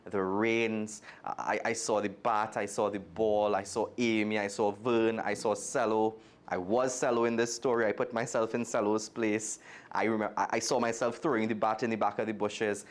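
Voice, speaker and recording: A man's voice: on the low side, little pitch movement, normal speed. A man with a Trinidadian accent reading a children's story aloud expressively and speaking directly to young listeners. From Trinidad and Tobago. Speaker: guest storyteller.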